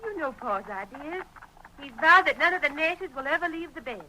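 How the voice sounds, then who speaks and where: An actress playing an anxious, secretive young ranch woman in love, with an American accent and urgent, halting speech, in 1940s radio western style. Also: high voice, animated, bright, forceful tone. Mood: worried pleading. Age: young adult. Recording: faintly noisy old radio recording.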